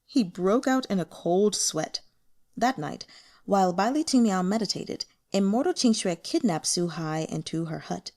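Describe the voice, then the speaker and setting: Animated woman narrating a story. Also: medium pitch, normal speed. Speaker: audiobook narrator. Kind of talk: expressive scripted story narration.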